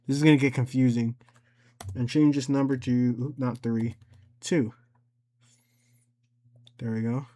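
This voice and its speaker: A man's voice: medium pitch, dark tone. A man hosting an informal, improvised step-by-step screen tutorial, thinking aloud as he goes. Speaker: tutorial host.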